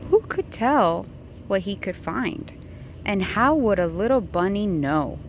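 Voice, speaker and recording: A woman reads a children's storybook aloud at a slow, patient pace. Her pitch swings widely as she plays up the story for a young listener. There is light noise behind her in the recording. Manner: expressive, asking the child questions. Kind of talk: picture book read-aloud.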